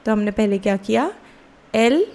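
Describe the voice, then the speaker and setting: A woman with an Indian accent giving a step-by-step software tutorial as an instructor, explaining casually and often checking in with questions. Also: on the high side, animated, moderate pace.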